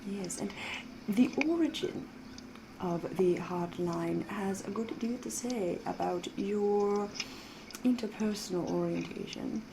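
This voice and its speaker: A woman playing a sly, elegant, flirtatious vampire in a soft-spoken, half-whispered ASMR roleplay, with genteel phrasing and teasing pauses. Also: medium pitch, creaky, noisy background. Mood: silky, intimate.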